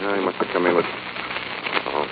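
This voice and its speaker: A suave, deep-voiced male sleuth, played by an actor, speaks tersely and briskly as he reasons. The style is 1940s radio mystery. The recording is very muffled, with clear noise. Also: natural voice. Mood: focused. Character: suave, urgent, analytical amateur sleuth, adult man.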